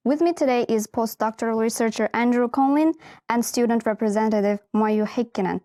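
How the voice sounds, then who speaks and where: A woman's voice: fairly high pitch, brisk, warm tone. A woman hosting an info session, politely welcoming guests and asking questions with some hesitant fillers. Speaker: host.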